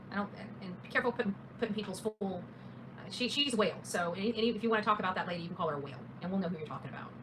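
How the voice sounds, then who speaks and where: A woman's voice: a little high, background noise. A woman livestreaming casual true-crime commentary in a blunt, opinionated, emphatic way, as the host addressing her viewers.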